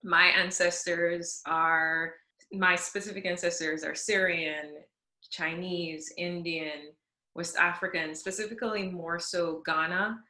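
Bright-voiced woman from Jamaica, echoey room. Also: on the low side, slow pace. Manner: expressive, enthusiastic. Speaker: guest. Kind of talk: interview storytelling.

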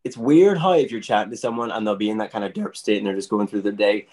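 A man, a magician talking in a relaxed, animated podcast chat and laughing often. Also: lowish voice, fast talker.

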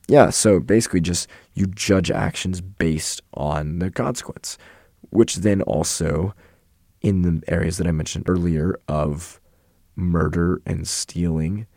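Young male student, hoarse, very low gravelly voice, dry recording; loose rambling explainer. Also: a little mellow, no echo. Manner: rambling, self-correcting, filler-heavy. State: hoarse from yelling and illness. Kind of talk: casual explainer talk.

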